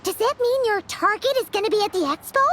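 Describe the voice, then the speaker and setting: A woman voicing a small, childlike, curious fairy companion with a squeaky voice in a video game. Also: lively intonation, fast, fairly bright tone, a little hiss or hum. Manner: talks of self in third person. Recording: music behind the voice.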